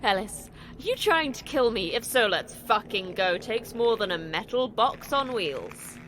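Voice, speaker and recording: A blunt, sweary station manager, noisy ambience. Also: female voice, fairly high pitch, expressive, a little slow, fairly bright tone. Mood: angry, alarmed. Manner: blunt, irritable, sarcastic. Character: terse, sweary, sardonic woman managing an Antarctic station. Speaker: station manager of a secret facility. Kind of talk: audio drama acting.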